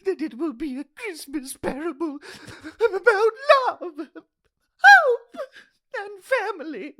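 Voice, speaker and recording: A woman's voice: extremely high pitch, sing-song, slow pace, bright, forceful tone, heavily acted. A woman voicing a tiny, emotional, shriek-prone taxidermist who presents announcements in a comedy sketch. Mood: weepy, overcome. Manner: theatrical, prone to shrieking and weeping.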